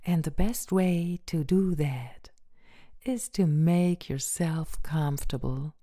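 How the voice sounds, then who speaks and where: A woman introducing a guided meditation as host, speaking in a gentle, breathy, unhurried way with long pauses. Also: sits low.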